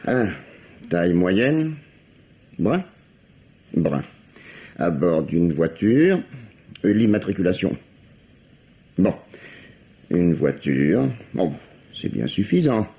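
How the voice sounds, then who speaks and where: An actor playing a sardonic, authoritative middle-aged police inspector questioning a suspect in a 1950s-style French radio drama. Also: male voice, sits low, big pitch swings, takes it slowly, creaky, pauses often, warm tone, exaggerated voice. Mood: brusque. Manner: authoritative, sarcastic, theatrical. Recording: dull, lo-fi vintage radio recording.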